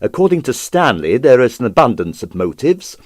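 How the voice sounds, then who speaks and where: A man narrating a detective story as an audiobook reader, theatrical and measured, with clipped phrasing. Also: mid-range voice, very animated, no echo, studio quality. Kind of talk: dramatized reading of a comic detective novel.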